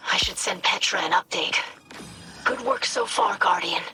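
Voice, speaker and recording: An actress playing a concerned field ally on a mission in a video game. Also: female voice, mid-range voice, moderate pace, music in the background, lightly acted. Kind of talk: video game cutscene acting.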